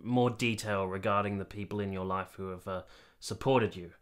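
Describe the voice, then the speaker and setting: An actor playing a reserved, dutiful man in a period radio drama, speaking in a measured, polite and slightly hesitant way. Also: on the low side, steady tone, clear, resonant tone, close to an everyday voice. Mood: tactful, candid. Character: reserved, dutiful man in 1870s Italy, guardedly kind.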